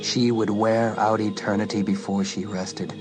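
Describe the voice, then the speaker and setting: Low-voiced man narrating suspense over music. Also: flat delivery, normal speed. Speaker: audiobook narrator. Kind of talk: scripted suspense story narration.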